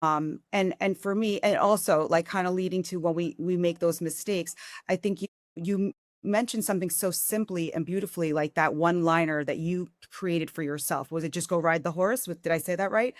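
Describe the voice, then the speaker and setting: Effusive woman host in her forties, low-pitched. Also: brisk, no room sound, studio quality. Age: 40s. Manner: warm and effusive, often restarting sentences. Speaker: podcast host. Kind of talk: casual interview conversation.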